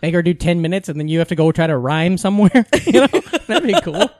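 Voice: medium pitch, expressive, fast talker, a little mellow, dry recording. A podcast host chatting casually, joking and teasing the guest and swearing freely.

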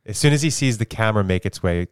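Low-voiced man asking probing questions. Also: lively intonation, fast talker, clean tone, no room sound, studio quality. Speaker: podcast host. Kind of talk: casual podcast chat.

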